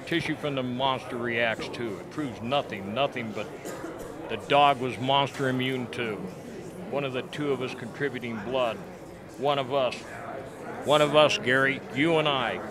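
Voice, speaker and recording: An actor playing a strained, insistent scientist in a radio play, speaking emphatically and repeating himself. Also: male voice, medium pitch, moderate pace, crowd noise, close to an everyday voice. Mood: tense, accusing. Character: male scientist reasoning under mounting suspicion, strained and insistent.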